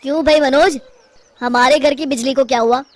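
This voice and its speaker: A woman's voice: sits high, fairly even intonation, normal speed, music in the background, close to an everyday voice. A woman voicing an imperious, demanding freeloader of a brother-in-law in a cartoon story.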